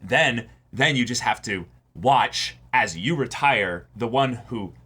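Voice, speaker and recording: A man co-hosting a casual discussion of a TV episode, talking in a halting way and often repeating words as he thinks aloud. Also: medium pitch, lively intonation, sharp tone, husky. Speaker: co-host of a reaction show.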